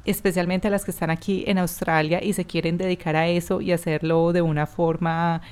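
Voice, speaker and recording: A woman's voice: on the low side, level tone, a little mellow, clean tone. Friendly woman hosting a podcast. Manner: friendly, quick to agree and sum up. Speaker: podcast host. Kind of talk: casual podcast interview.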